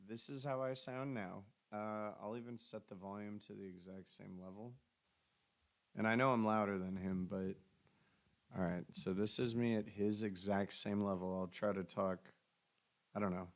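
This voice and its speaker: A man with a deep, bassy voice, co-hosting a casual stream chat in a loose, joking way. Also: fairly even intonation, measured pace. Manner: loose, joking, talks about mic setup. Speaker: stream co-host.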